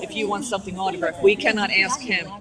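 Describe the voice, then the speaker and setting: Woman w a deep voice chatting in a convention line, keeps explaining the rules, super loud crowd behind. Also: fast talker. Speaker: fan waiting in a convention line. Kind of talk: casual chat.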